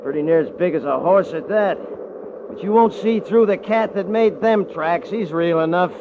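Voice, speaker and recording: An American actor playing an arrogant, bullying cowboy rancher in a radio Western, with a folksy drawl and rough grammar. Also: male voice, high-pitched, moderate pace, noisy background. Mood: excited, alarmed. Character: arrogant, bullying, leering Western rancher man, mocking and short-tempered. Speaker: actor and first-person narrator. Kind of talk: old-time radio drama acting, rustic Western dialect. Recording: dull vintage radio recording with music behind.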